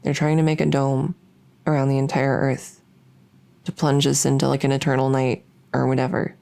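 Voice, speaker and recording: A woman's voice: rumbling low, level tone, vocal fry, dark tone. A woman playing a shy, lonely, socially awkward vampire who wants friends, talking in a hesitant, rambling way in an ASMR audio roleplay. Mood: geeky, rambling. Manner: hesitant, halting, rambling, full of self-corrections.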